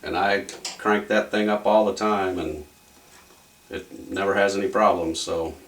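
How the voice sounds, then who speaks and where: A man's voice: sits low, fairly even intonation, unhurried, a bit bright. A man narrating a repair casually and off the cuff, thinking aloud in a folksy, rambling way. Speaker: electronics repair hobbyist demonstrating a fix. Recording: distant mic with faint background noise.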